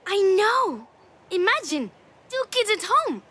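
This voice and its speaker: A woman's voice: very high voice, very animated, takes it slowly, bright, forceful tone, exaggerated voice. An actress voicing a cheeky, affectionate young daughter in a video game, with a playful, girlish, teasing manner. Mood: enthusiastic, teasing. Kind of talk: naturalistic video game drama acting.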